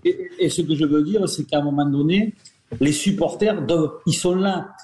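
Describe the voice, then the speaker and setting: A man's voice: fairly high pitch, normal speed, a little mellow, echoey room, a little hiss or hum, rough recording. A man with a Marseille accent speaking as a football pundit in a radio debate, making his points emphatically and repeating them.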